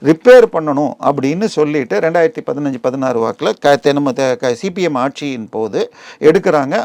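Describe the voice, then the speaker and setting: A man's voice: fairly high pitch, a little mellow, no room sound. A man with a Tamil Nadu accent giving digressive, explanatory commentary in an interview, often adding comparisons and figures. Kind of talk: explanatory news interview talk.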